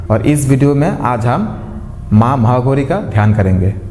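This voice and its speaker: A man guiding a meditation as a yoga teacher, giving gentle instructions in unhurried phrases with pauses. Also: medium pitch, lively intonation, slight background noise. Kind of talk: guided meditation with mantra chanting. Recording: long hall-like reverb.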